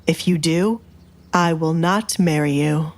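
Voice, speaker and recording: A woman voicing a powerful male duke, cool, controlled and quietly menacing, in an audio romance drama. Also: low-pitched, slow, faint music behind. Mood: probing, firm.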